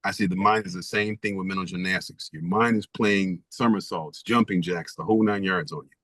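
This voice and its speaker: A man's voice: low voice, steady tone, brisk, a bit bright, dry recording, clean studio sound. A man guest author in an interview, speaking emphatically and stacking rhetorical questions. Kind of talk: interview conversation.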